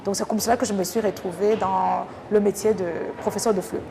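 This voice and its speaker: A woman's voice: mid-range voice, steady tone, brisk, gravelly. A woman from Rwanda with a Rwandan French accent, answering interview questions reflectively, with hesitant phrasing. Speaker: interview guest, French language teacher. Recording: noisy, echoing hall sound with long reverb.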